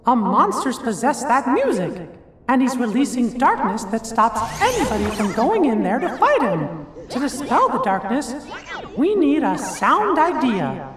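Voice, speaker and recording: An actor voicing a small, kindly cartoon mouse sorcerer's apprentice in a video game, squeaky, polite and folksy. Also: big pitch swings, measured pace, pauses often, roomy sound. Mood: earnest, worried. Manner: folksy, earnest, exclamatory.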